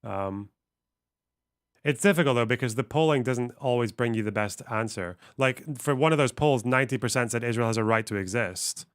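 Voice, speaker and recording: A man's voice: medium pitch, moderate pace, clean tone, no echo, studio quality. A man streaming, reading text aloud and musing on it casually, swearing offhandedly. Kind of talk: casual livestream commentary.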